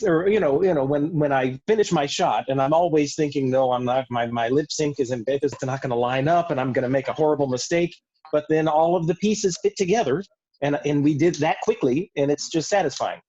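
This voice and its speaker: A man chatting casually on a video call, halting and circling back over his phrases. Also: a little high, a little mellow. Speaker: cast member. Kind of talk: casual chat. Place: online group video call. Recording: dull lo-fi video call audio.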